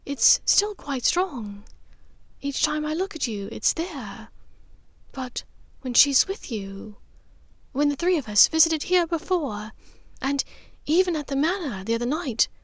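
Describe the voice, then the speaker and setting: Woman voicing soft, high-pitched aristocratic witch mother. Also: expressive, unhurried, no room sound. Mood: earnest, soft. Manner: soft, hesitant, wistful, breathy at times. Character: gentle, knowing, intuitive aristocratic witch mother.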